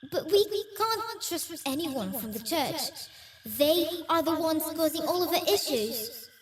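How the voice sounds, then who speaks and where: A woman's voice: sits high, animated, unhurried. A woman playing a worried, pleading female ghost in a fantasy audio roleplay. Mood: alarmed. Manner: earnest, pleading. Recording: echoey, distant, with clear background noise.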